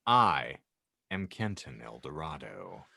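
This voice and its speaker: A man's voice: extremely low pitch, big pitch swings, slow, gravelly, pushed and bright, dry recording, studio quality. A man hosting a casual comedy podcast, bantering and speechifying with mock-solemn grandeur. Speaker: podcast host.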